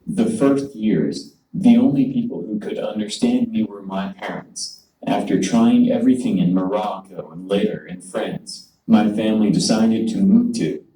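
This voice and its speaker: A synthetic male voice from a speech device, pitched a little low with a mellow, rounded tone. It delivers a prepared conference talk in measured, formal sentences. The sound carries through a roomy hall, picked up from some distance. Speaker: conference speaker.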